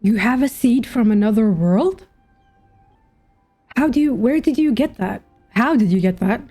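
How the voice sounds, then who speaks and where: A woman with a fairly high, mellow voice gives casual game commentary. She muses aloud and questions things like a streamer, with soft music faintly behind her. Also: expressive, a little slow.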